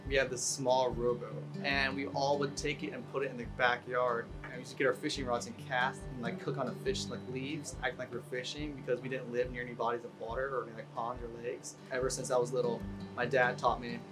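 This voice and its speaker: A young man talking casually about fishing in an interview, relaxed and full of fillers, sitting on an outdoor balcony. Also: medium pitch, a little fast. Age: young adult. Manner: relaxed, many fillers, gets excited. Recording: guitar music underneath.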